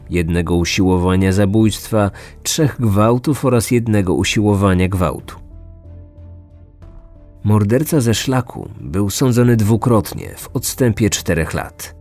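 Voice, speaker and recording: A man's voice: on the low side, darkish tone. A man delivering scripted true crime documentary narration as a podcast narrator.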